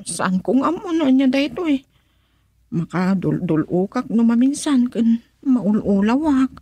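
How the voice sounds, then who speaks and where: A woman's voice: fairly high pitch, lively intonation, measured pace, warm tone, husky, dry recording. A woman acting a worried, devoted young wife in an Ilocano radio drama, with an Ilocano accent. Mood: gentle, slightly chiding.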